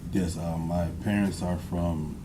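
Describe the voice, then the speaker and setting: A man's voice: deep voice, fairly even intonation, unhurried, background noise. A man in his thirties from Connecticut with a slight Jamaican tinge on some words, testifying as a witness in short, deferential answers. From Connecticut, USA. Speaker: witness, former police officer. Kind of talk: courtroom testimony. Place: courtroom.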